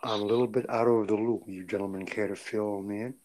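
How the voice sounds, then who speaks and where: Blunt, low-voiced man in political talk. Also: fairly even intonation, fast talker, a little mellow. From United States. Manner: blunt and dismissive, chuckles between remarks. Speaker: podcast co-host. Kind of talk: casual political discussion.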